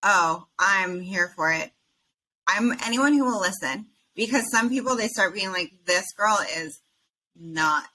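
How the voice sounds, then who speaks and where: A woman talks as a podcast guest, blunt and animated, peppering her speech with "like." Her voice is bright and forward at a mid pitch. She is calling in remotely from outdoors, with faint ambient noise behind her. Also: unhurried, low-quality recording. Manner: frank, emphatic, says "like" and "you know" a lot. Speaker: cannabis business consultant, guest. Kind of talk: casual podcast interview. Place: outdoors on an island.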